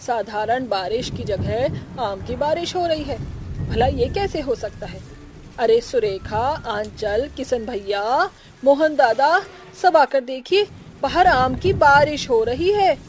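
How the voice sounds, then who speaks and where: A woman voicing an amazed, excitable village woman who exclaims and calls out, in a Hindi cartoon story. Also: high voice, slow, slight background noise. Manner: exclaiming, calling out to neighbours.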